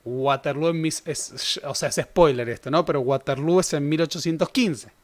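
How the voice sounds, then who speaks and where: A man's voice: a little high, moderate pace. A young man giving a casual, conversational history lecture. He corrects himself often and adds joking asides. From Argentina. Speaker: history teacher.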